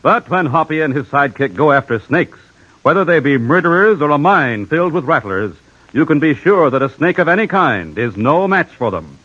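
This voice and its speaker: Animated American old-time radio announcer, male. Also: a little high, moderate pace. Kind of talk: 1950s scripted radio announcing. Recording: dull old radio recording. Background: music.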